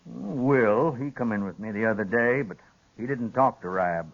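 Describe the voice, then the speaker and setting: Casting: man to play defiant, plain-spoken old cattleman, medium pitch, rural western American accent, old muffled radio western. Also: normal speed, natural voice. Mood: defensive. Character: stubborn, blunt old rancher, protective father. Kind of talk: 1950s radio western acting. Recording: dull vintage radio recording.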